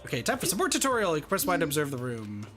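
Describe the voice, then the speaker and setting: A man's voice: on the high side, wildly swooping pitch, very fast, a bit bright, soft background music. A man reading a visual novel aloud expressively as narrator and protagonist in a gaming video.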